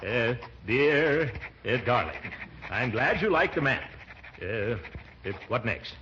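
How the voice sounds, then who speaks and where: An actor playing a pompous, bumbling middle-aged uncle in 1940s radio comedy, hemming and theatrical. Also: male voice, medium pitch, expressive, pushed and bright. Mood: halting, dictating. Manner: hemming, halting, theatrical delivery. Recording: dull, noisy 1940s radio recording.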